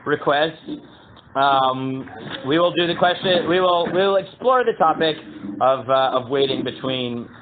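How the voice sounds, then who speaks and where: A man leads a casual Torah class in a booming voice. He halts and restarts often, reading Hebrew text aloud before translating it. The sound is thin and tinny, like a phone line. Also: slight background noise. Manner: halting, many fillers and restarts. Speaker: rabbi teaching a class.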